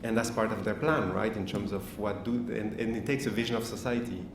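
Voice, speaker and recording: A man with a lowish voice answers audience questions at a summit panel, laying out his points in a measured, explanatory way. He is picked up from a distance in a large hall, so his voice sounds roomy and echoey. Steady background noise runs under the speech. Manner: measured, explanatory, thinking aloud. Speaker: panel speaker. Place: lecture hall.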